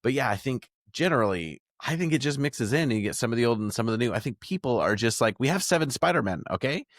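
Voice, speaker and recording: A man's voice: mid-range voice, lively intonation, fast talker, no room sound, studio quality. A man co-hosting a podcast, talking in a casual, conversational style. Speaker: podcast co-host. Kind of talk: casual chat.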